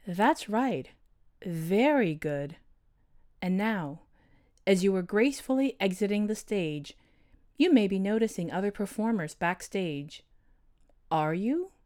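A man puts on a wise female owl from a storybook. The voice is soft and smooth, pitched well above his own. She speaks slowly and calmly, gently lulling like a hypnotist. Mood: probing, calm. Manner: calm, slow, hypnotic, soothing. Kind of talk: storybook character voice.

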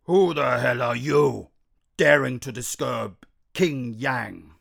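Brief: proud circus lion king, gruff and commanding, rough gravelly edge, read by a male narrator. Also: medium pitch, a bit bright. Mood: menacing. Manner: growling, blustering, slow and commanding.

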